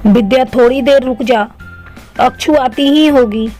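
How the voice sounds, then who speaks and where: A cautious, loyal young schoolgirl, voiced by a woman in a children's cartoon. She sounds high-pitched and timid, with quiet music behind her. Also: a little slow. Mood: anxious. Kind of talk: Hindi cartoon story dialogue.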